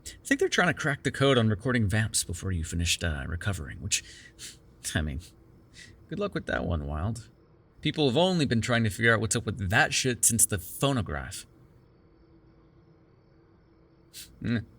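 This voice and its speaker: A man's voice: fairly low pitch, very animated, a little fast, a bit bright, full character voice. A man playing a sardonic but caring captive vampire in an immersive ASMR roleplay, talking in a wry, teasing, profane conversational way. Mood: wry, musing. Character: sardonic, caring immortal male vampire held captive in cuffs.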